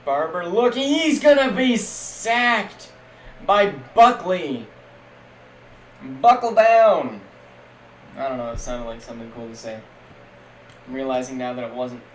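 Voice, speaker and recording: A young man streaming casual, jokey play-by-play of a simulated football league, getting loud on big plays. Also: on the high side. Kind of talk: live-stream game commentary. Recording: echoey room with faint background noise.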